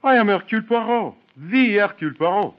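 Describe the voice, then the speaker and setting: A man's voice: sits high, animated, a little fast. A vain foreign detective in a vintage radio drama. His French accent carries ornate, broken English that rises and dips theatrically. The recording is dull and narrow. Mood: inquisitive. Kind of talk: old radio drama acting. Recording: dull, band-limited old radio recording.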